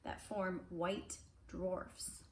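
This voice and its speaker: A woman's voice: lowish voice, flat delivery, drawn-out words. A woman reading a picture book aloud to children in a casual, conversational way, adding little explanations as she goes. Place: indoors at home. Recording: roomy, echoey home recording with faint noise.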